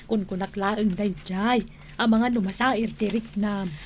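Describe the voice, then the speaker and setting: Woman acting a slow-talking, teasing big sister. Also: medium pitch, fairly even intonation, a little hiss or hum, lightly acted. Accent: Ilocano. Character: teasing, joking older-sister figure Manang Ansing. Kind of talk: Filipino radio drama acting.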